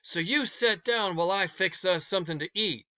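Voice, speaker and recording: A man's voice: sits high, pushed and bright. Man voicing a folksy, emphatic, stern motherly woman. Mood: frank, firm. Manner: folksy, emphatic, drawn-out scolding. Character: stern, motherly large older woman, scolding but kind. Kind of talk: storybook read-aloud character.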